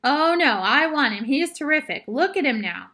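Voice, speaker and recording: A woman voicing a stubborn, excitable schoolboy in a storybook, loud, whiny and insistent. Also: very high-pitched, takes it slowly, pitched above the performer's own voice, exaggerated voice. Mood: defiant.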